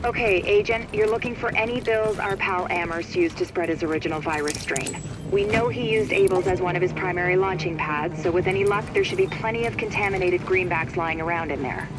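An actress playing a wry, chatty scientist guiding an agent in a video game, sardonic and informal. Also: female voice, fairly low pitch, fairly quick, background noise, lightly acted. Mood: urgent, wry. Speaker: virologist on the radio. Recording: loud music behind voice.

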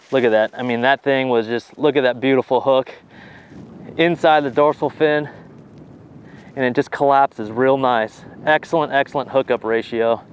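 A man's voice: medium pitch, dull audio, a little hiss or hum. A man in his thirties giving a casual fishing tutorial on a boat outdoors, talking with easy enthusiasm and plenty of "you know" fillers. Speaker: pro bass angler.

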